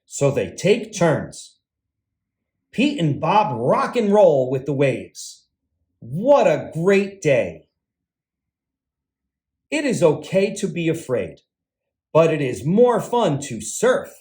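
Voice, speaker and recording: A man hosting a read-aloud for young children, reading a storybook in an expressive, deliberate way with sing-song emphasis. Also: on the high side. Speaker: children's read-aloud host, teacher and entertainer.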